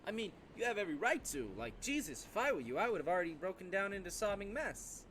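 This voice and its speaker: A man acts out an indignant, supportive friend from a visual novel. The voice sits higher and pushes its words with urgent emphasis. There is clear noise in the recording. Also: very animated, fast talker, a bit bright. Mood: sympathetic, indignant. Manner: emphatic, urgent, raised delivery.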